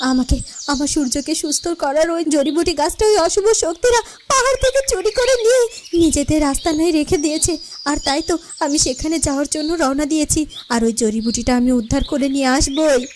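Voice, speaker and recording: A woman's voice: sits high, lively intonation, fast talker, background noise. A woman voicing an earnest, determined young fairy-tale heroine in a cartoon, pleading and emphatic, often repeating her phrases. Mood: distressed, resolute. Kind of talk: dramatic Bengali cartoon voice acting.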